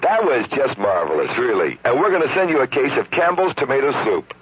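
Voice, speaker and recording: A man's voice: mid-range voice, very animated, brisk. An American man making a promotional phone call for a radio ad, chatting in a folksy, reassuring way. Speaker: radio ad caller and pitchman. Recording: dull, hissy 1970s radio phone spot.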